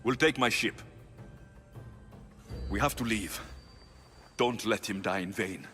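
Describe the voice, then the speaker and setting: An actor playing a vengeful, protective young Greek warrior and sea captain in a video game cutscene. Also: male voice, on the low side, takes it slowly. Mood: resolute. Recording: loud music behind.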